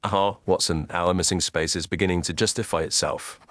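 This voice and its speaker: Storybook detective male reader acting: lowish pitch, formal, exact, self-assured, close dry sound. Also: steady tone, fairly quick, a bit bright, dry recording. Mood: brisk, intent. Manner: precise, formal, deductive. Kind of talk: audiobook character dialogue.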